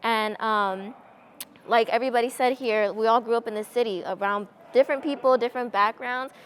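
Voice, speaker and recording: A young adult woman, a student panelist, speaking in an articulate, measured way. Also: a little high, fairly even intonation. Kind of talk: casual panel conversation.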